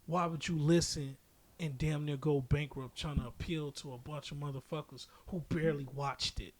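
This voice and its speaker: A man's voice: fairly high pitch, steady tone, normal speed. A man giving casual, opinionated podcast commentary as a host, speaking bluntly and emphatically with frequent swearing.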